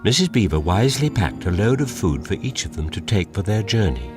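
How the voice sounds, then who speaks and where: A man's voice: on the low side, very animated, a little fast. A man narrating a scripted children's story as a storyteller. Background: music.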